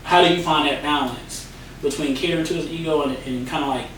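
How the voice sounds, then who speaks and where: A man with a fairly high voice hosts a casual podcast, joking around and putting questions to his guests. He sounds far from the mic. The room carries a heavy echo. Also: steady tone, fast talker, a bit bright, slight background noise. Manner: joking, asks questions, reads listener question. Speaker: podcast host.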